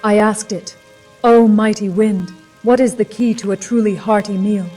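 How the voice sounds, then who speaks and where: A woman's voice: mid-range voice, steady tone, normal speed, warm tone, faint music behind, lightly acted. Proud village huntress, played by a woman, slightly distant. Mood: mock-solemn storytelling. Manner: formal, storytelling, mock-solemn. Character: proud, hardy Nordic village huntress, wry and earnest. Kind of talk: video game character dialogue.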